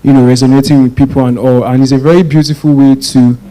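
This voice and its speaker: A Nigerian man in his twenties puts a question to a speaker from the audience. His voice is mellow and of average pitch, and he is polite and a little hesitant. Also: level tone, fast talker, rough recording. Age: 20s.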